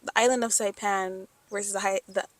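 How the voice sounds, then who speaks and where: A young woman from New York hosts a casual podcast. Her voice sits at a middle pitch and she talks in a chatty, playful, upbeat way. The recording is dry and close. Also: steady tone, brisk, dry recording. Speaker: podcast host. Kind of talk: casual interview chat.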